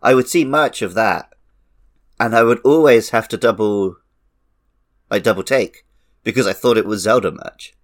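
A man's voice: on the low side, normal speed, pauses often. A man co-hosting a podcast, casually and enthusiastically discussing films and games in fan detail. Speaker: podcast co-host. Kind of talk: casual film and game discussion.

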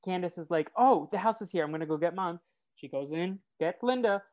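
A woman with a rather deep, nasal voice talks as a podcast co-host. She recaps and chats in a casual, loose way, often acting out what people said as she goes. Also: lively intonation, fairly quick, darkish tone. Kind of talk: casual episode recap and chat.

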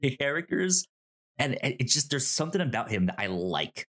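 Give the voice of a man with a mid-range pitch in a casual podcast discussion. He speaks haltingly, often doubling back on words and stammering before he gets them out. The delivery is conversational and unscripted. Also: big pitch swings, fairly bright tone. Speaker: podcast co-host.

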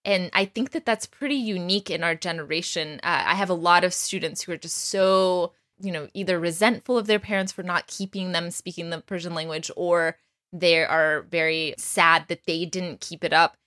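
A young woman hosting an interview podcast, admiring and quick to laugh. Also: lowish voice, fairly even intonation. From United States. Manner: warm, admiring, laughs often. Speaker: podcast host and Persian teacher.

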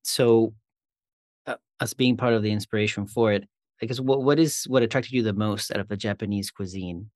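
A man's voice: fairly low pitch, fairly quick, a little mellow, no echo, studio quality. A man hosting a local community interview show, asking questions in an upbeat way with brief acknowledgments. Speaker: podcast host.

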